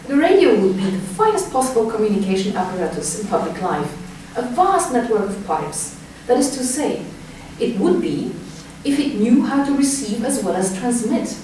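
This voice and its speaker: A woman presenting a scripted academic paper aloud in formal, measured phrasing. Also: on the high side, a little mellow. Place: reverberant indoor room. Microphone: distant microphone. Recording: echoey room, distant mic, noisy background.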